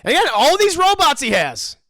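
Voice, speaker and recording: Lively high-pitched man with gravelly edge. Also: brisk, dry recording, studio quality. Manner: joking, animated, mock-voices characters. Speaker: commentary host. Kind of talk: casual cartoon commentary.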